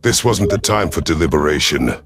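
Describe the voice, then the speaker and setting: A man voicing a cold, calculating alien predator commander in a sci-fi audio story, imperious and menacing, with a rough husky voice. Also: a bass voice, lively intonation, brisk, fairly bright tone, heavily acted. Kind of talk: dramatic first-person sci-fi audio story.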